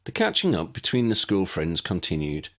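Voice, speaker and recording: A man's voice: low-pitched, wildly swooping pitch, normal speed, a bit bright. A man reading scripted story narration as an audiobook narrator.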